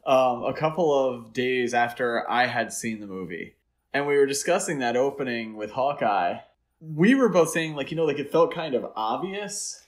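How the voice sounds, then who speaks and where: A man with an average-pitched voice co-hosts a film podcast. He talks informally and offers his own takes in a loose, conversational flow. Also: moderate pace. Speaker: podcast host. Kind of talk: casual film discussion.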